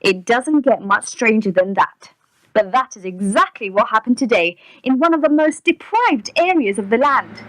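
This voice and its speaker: Woman playing a high-voiced news reporter, dry recording. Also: expressive, normal speed, no echo, natural voice, poor audio. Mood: measured, wry. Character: composed female news reporter summing up politics. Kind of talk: broadcast news report within radio drama.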